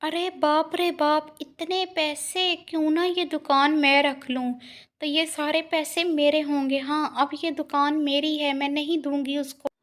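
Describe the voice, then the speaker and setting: A woman's voice: high-pitched, level tone, unhurried, fairly bright tone. A woman voicing a greedy, scheming female bird in a cartoon story who talks rudely and scolds. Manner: scolding, rude.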